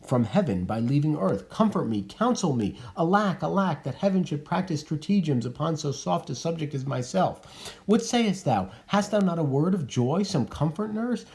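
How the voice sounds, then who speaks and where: A man's voice: fairly high pitch, big pitch swings, normal speed, darkish tone, voice pushed up. A man reading the part of a desperate teenage girl, pleading in earnest recitation. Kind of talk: dramatic reading of a play.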